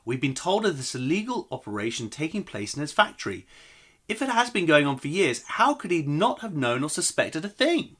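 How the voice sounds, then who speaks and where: Man playing storybook uncle, troubled, earnest, bright, animated pitch. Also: a little fast. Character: troubled uncle, earnestly questioning a relative's innocence. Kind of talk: children's storybook read aloud.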